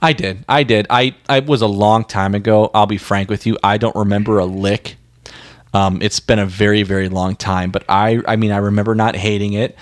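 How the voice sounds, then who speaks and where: A man's voice: lowish voice, fairly even intonation, moderate pace. A man co-hosting a music podcast, chatting casually in a blunt, rambling, slangy way. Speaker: podcast co-host.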